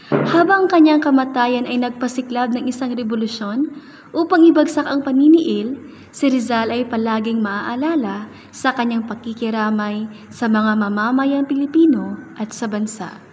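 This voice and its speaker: A woman's voice: fairly high pitch, moderate pace, darkish tone, a little hiss or hum. Woman narrating in an echoey hall. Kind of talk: scripted narration of a biography radio drama. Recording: echoey hall, music underneath. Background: music.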